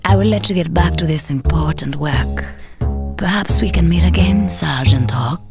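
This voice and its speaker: A seductive female spy in a video game. Her low voice is sultry, teasing and haughty, over loud music. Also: normal speed. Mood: flirtatious. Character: seductive, haughty female intelligence operative, sly femme fatale. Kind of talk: exaggerated game cutscene acting.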